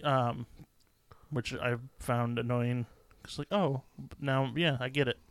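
A man's voice: mid-range voice, fairly even intonation, slow pace, clear, resonant tone, no room sound. A man co-hosting a podcast, chatting casually about a movie in a laid-back, rambling way. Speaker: podcast co-host. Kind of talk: casual movie review chat.

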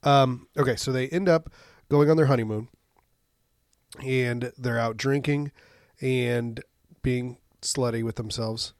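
A man's voice: mid-range voice, slow pace, dry recording, clean studio sound. A man co-hosting a podcast with a chest cold, recapping a movie in a joking, chatty way. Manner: joking, lively recaps with acted-out quotes. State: congested, slightly wheezy. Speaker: podcast co-host. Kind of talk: casual movie recap chat.

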